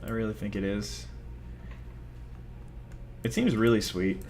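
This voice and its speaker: A young man with a somewhat low voice talks through a digital card game as he plays. He rambles and mocks himself in a loose, chatty way. A faint hum of room noise sits under his voice. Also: unhurried, darkish tone. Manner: rambling, self-mocking, chatty. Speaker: gaming video host. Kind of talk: casual gameplay commentary.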